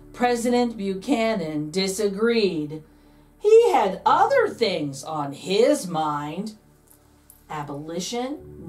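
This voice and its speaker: A woman reads a children's picture book with playful, theatrical emphasis. Her voice sits fairly high and swings widely in pitch, stretching key words for drama. She sounds a little away from the microphone. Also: distant mic. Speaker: read-aloud host, storyteller. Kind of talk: expressive children's picture book read-aloud.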